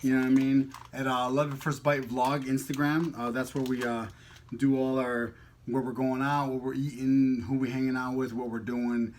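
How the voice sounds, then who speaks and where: A man's voice: medium pitch, little pitch movement, normal speed, slight background noise. A man vlogging casually over a meal, talking in an informal, slangy, teasing way. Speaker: mukbang vlogger. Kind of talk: casual chat while eating.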